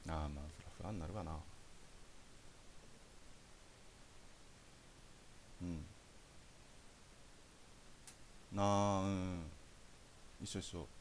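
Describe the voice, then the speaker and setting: A man's voice: low-pitched, steady tone, fast, a little mellow, slight background noise. A young man with a Kansai Japanese accent playing a caring boyfriend in a naturalistic phone-call audio drama, chatting casually and hesitantly. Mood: concerned, casual. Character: caring young boyfriend reassuring his anxious girlfriend.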